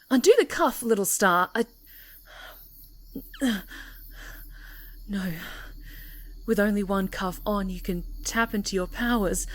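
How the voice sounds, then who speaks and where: A woman playing an obsessive villainess superhero in an intimate, theatrical ASMR roleplay, with breathy, halting delivery. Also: mid-range voice. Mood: confused, uneasy. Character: obsessive yandere superhero villainess, pleading and enraged.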